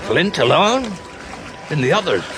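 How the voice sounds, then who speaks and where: A man playing a gruff, greedy pirate first mate in an audio drama. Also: on the high side, big pitch swings, a little slow, music in the background. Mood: suspicious, greedy.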